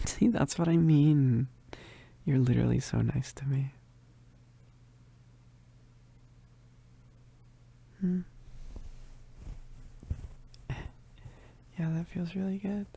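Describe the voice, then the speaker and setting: Someone playing a husky, bashful werewolf roommate. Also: medium pitch, dry recording, exaggerated voice. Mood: bashful, grateful. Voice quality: soft, breathy, husky. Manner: bashful, halting, giggly, often dropping to whispers. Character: shy, needy, affectionate young werewolf roommate. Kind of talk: roleplay ASMR, intimate one-sided dialogue.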